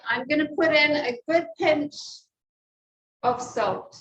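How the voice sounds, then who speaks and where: A woman's voice: sits high, slow, lo-fi sound. A woman with a New Zealand accent teaching a live cooking class, chatting as she explains each step while she cooks in a kitchen. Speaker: cooking instructor. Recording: echoey kitchen, distant mic.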